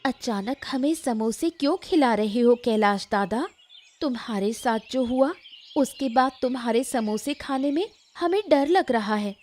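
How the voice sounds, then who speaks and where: Woman acting an earnest village neighbour, highish voice. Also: expressive, a little slow, a little mellow, a little hiss or hum. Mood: wary. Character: sympathetic village neighbour, wary then supportive. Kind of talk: Hindi moral-story cartoon voice acting.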